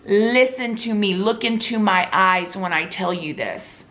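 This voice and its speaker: A young woman with a drawl talks to camera in a casual, chatty way. Her voice is slightly hoarse, as if she is losing it, and she speaks with earnest, pleading sincerity, addressing viewers like close friends. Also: mid-range voice, measured pace, a bit bright. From Florida. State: losing her voice, sore throat. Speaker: YouTube vlogger.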